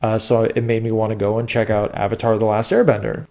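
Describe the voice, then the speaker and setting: A man's voice: on the low side, flat delivery, quick pace, warm tone. A man hosting a casual podcast chat while fighting a cold, nasal and stuffed up, rambling and restarting his sentences. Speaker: podcast host.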